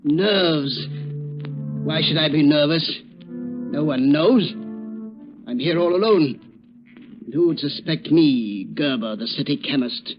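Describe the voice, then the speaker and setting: An actor playing a resentful, jittery murderous chemist in melodramatic old radio style, muttering in agitation. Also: male voice, fairly high pitch, slow pace, long pauses, over music. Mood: gloating, nervy. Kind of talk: 1930s radio drama acting. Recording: dull old radio recording.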